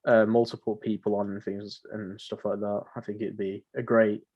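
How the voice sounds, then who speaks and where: A man's voice: fairly low pitch, level tone, normal speed, a little mellow, breathy edge, highs cut off. A man co-hosting a podcast in unscripted casual chat, rambling along in a slangy, jokey way. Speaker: podcast co-host.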